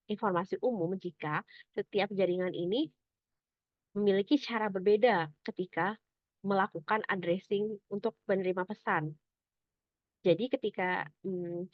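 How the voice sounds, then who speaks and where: A young adult woman presents academic material like a student reading from notes. Her delivery is measured and explanatory, with some halting. The sound is dry and slightly dull, with a lo-fi finish. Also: mid-range voice, dry recording.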